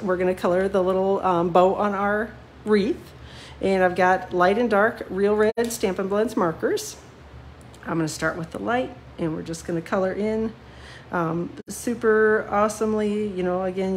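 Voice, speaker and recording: A woman's voice: medium pitch, a little hiss or hum. A woman demonstrating card-making live, chatty and self-deprecating with lots of ums, a little sniffly from a cold. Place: home craft desk, seen from above.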